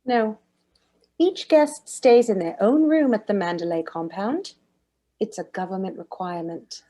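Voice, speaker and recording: A woman's voice: mid-range voice, unhurried, warm tone, natural voice. A woman playing a stern, stoical housekeeper in her sixties in a drama table read, speaking formally and solemnly. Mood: stern, curt.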